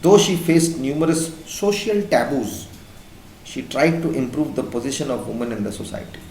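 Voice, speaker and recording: Indian teacher in an echoey room. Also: male voice, medium pitch, expressive, darkish tone, slight background noise. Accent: Indian English. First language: Hindi. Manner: repeats points and checks in with the class with quick questions. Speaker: school teacher. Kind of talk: classroom lecture.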